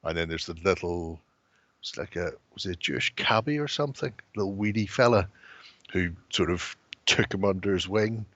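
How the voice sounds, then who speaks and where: Deep dry-humored man, lo-fi headset. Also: moderate pace, a bit bright, no echo. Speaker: co-host. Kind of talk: casual chat. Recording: dull lo-fi stream audio.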